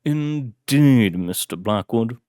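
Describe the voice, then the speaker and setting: A man voicing an elderly, distinguished male butler with a British accent, who speaks in a formal, measured and polite way. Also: medium pitch, expressive, warm tone, no echo, clean studio sound. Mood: courteous.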